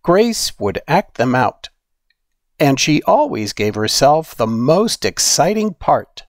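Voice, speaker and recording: A man reading a children's story aloud in an expressive, deliberate storytelling manner. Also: fairly high pitch, no echo, clean studio sound. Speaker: storybook reader.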